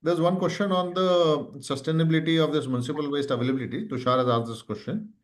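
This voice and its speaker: A man's voice: fairly high pitch, steady tone, fast. Indian man moderating a business call. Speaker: earnings call moderator relaying investor questions. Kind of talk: business question-and-answer call.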